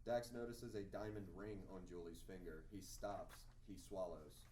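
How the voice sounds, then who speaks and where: A man's voice: low-pitched, moderate pace, mellow. A man reading scripted narration, the stage directions of a screenplay. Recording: echoey room, distant mic, faint noise.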